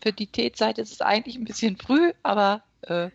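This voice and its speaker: A middle-aged woman from northern Germany, a judge and court president, answering interview questions in a measured way with frequent hesitations. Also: fairly high pitch, creaky, dull audio. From East Frisia, Germany. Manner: measured, many hesitation sounds.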